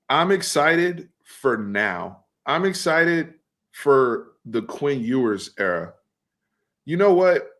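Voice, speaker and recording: A man in his thirties from Texas hosting a casual sports talk stream, animated and fast, often repeating words as he builds his point. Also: on the high side. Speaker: host.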